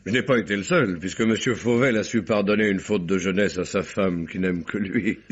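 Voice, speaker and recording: An actor playing a wise, humane police detective, measured and composed, in a vintage French radio drama. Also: male voice, low-pitched, breathy edge. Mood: calm. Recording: dull old radio recording.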